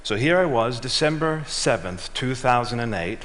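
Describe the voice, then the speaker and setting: A middle-aged man giving a prepared talk on stage, telling a measured story and letting his lines trail off. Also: mid-range voice, expressive, a bit bright, slight background noise. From Switzerland and Ghana. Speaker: speaker. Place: large auditorium. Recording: reverberant hall.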